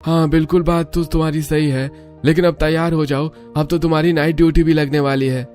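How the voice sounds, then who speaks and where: A chatty, practical male co-worker , acted by a man in a cartoon. He talks matter-of-factly in a fairly high voice with music underneath. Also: little pitch movement, brisk, a little mellow, close to an everyday voice.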